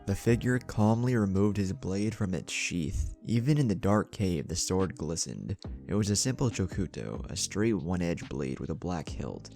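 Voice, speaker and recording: A man's voice: deep voice, animated, moderate pace, creaky, few pauses, a little mellow, soft background music. A man narrating a scripted action story in a dramatic storytelling style.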